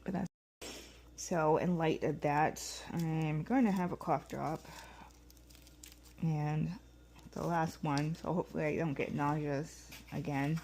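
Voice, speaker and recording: Hoarse, gravelly woman vlogging at home. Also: sits low, fairly even intonation, slow pace, long pauses, a little mellow, slight background noise. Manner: rambling, many ums and restarts. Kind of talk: casual day-in-the-life vlog talk. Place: at home, kitchen and house.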